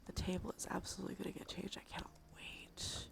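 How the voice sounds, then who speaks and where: A woman livestreamer talking casually in a soft, breathy whisper with vocal fry, in an informal ASMR game stream style. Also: mid-range voice, monotone, fast. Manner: sweary, informal. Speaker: livestreamer and host.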